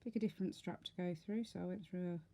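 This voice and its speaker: A woman's voice: fairly low pitch, fairly even intonation, quick pace, dark tone. A woman giving a casual, step-by-step craft tutorial, talking informally and unhurriedly as she works.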